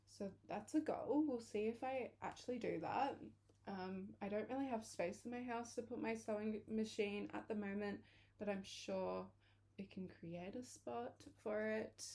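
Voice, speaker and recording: A young woman from England talks in a loose, chatty way. She drifts between thoughts and stops to fix her own words. Her voice is a touch hoarse, as if she is losing it. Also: mid-range voice, a little mellow. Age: early 20s. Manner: chatty, rambling, corrects herself. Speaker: vlogger. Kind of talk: casual chat to camera.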